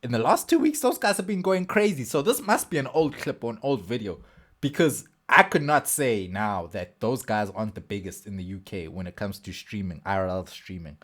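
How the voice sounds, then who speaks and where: Animated man reacting to clips. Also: on the low side, a little fast. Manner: animated, blunt, slangy. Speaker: reaction streamer. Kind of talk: casual reaction commentary.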